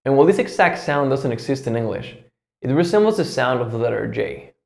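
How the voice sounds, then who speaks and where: A man in his twenties with a Mexican accent, a native Spanish speaker teaching a scripted language lesson with deliberate enunciation. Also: medium pitch, big pitch swings, gravelly, darkish tone, reverberant. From Mexico, Guadalajara in Jalisco. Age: 20s.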